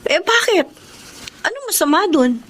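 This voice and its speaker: A woman's voice: very high voice, sing-song, normal speed, a bit bright, heavily acted. A woman playing a determined, selfless young breadwinner in an emotional radio drama, speaking earnestly and resolutely. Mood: weary, defensive.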